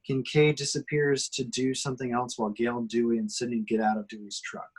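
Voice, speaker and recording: A man's voice: mid-range voice, little pitch movement, a little fast, slightly rough. A man reading scene headings and action lines as scripted narration at an amateur table read. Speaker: reader of screenplay action descriptions.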